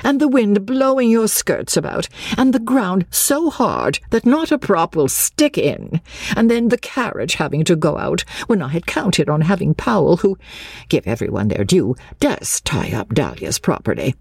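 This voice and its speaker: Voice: mid-range voice, big pitch swings, normal speed, creaky, husky, no room sound, studio quality, pitched above the performer's own voice, full character voice. A reader voicing a cross, flustered middle-class English matron who fusses in breathless exclamations, for an audiobook. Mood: flustered, complaining.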